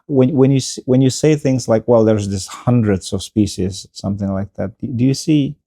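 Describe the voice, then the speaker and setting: A man's voice: mid-range voice, normal speed, warm tone, no echo, clean studio sound. A man hosting an interview, asking earnest questions with stammering restarts. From Indonesia. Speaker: podcast host.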